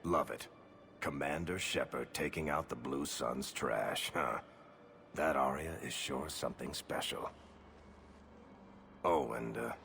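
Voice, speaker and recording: A smug mercenary leader in a sci-fi game. His voice is rock-bottom deep and full of gravel. He talks with a mocking sneer over faint background noise. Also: takes it slowly, pauses often, sharp tone, full character voice. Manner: sneering, smooth-talking, mocking. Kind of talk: video game acting.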